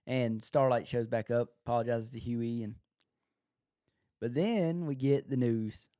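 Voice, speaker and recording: A man's voice: mid-range voice, measured pace, warm tone, clear, resonant tone, dry recording, studio quality. A man co-hosting a podcast, talking casually as he reacts to a TV show. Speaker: podcast co-host.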